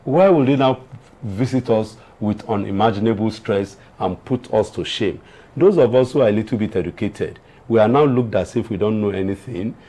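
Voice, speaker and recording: A man's voice: fairly low pitch, normal speed, mellow. A man in his sixties with a Nigerian accent, talking as a television panelist, repeating himself for emphasis and storytelling. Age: 60s. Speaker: panelist on a TV talk show. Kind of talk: animated opinion and anecdote in conversation.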